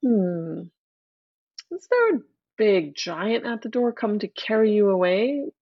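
A woman voicing a king who gently and sternly questions his daughter. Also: medium pitch, sing-song, slightly rough, lo-fi sound, exaggerated voice. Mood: probing. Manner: slow, probing, gently stern. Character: calm questioning king father.

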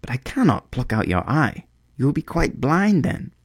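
A man's voice: mid-range voice, very animated, moderate pace, husky, dry recording, studio quality, voice pushed up. A man voicing a small, devoted male swallow in a storybook, tender-hearted and earnest in a pleading way. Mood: sad, devoted.

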